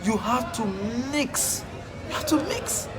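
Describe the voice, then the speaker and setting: Nigerian male motivational speaker, high-pitched and emphatic, repeats lines for punch; music bed throughout. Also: sing-song, slow pace, crowd noise. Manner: emphatic, repeats phrases for effect. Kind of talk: motivational talk.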